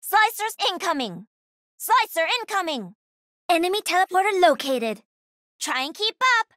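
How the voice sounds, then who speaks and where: D.Va, very high-pitched cocky young gamer girl. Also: moderate pace, dry recording, studio quality, full character voice. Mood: brisk, ready. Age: young adult. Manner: sassy, confident, energetic. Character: cocky, playful young female pro gamer mech pilot. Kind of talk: video game voice lines.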